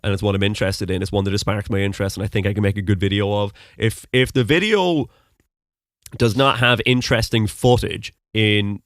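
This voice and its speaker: A man's voice: fairly low pitch, brisk, dry recording, studio quality. Irish man with a neutral, American-tinged accent. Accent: Irish with American-sounding notes. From Ireland, Mullingar, Westmeath. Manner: rambling, many ums and restarts. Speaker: podcast host being interviewed. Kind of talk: casual conversational interview.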